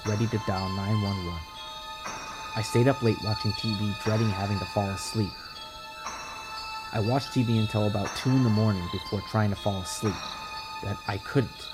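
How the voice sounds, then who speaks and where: A man with a fairly low voice narrates a first-person horror story. His delivery is conversational and expressive, dramatized like a creepypasta reading, with prominent music underneath.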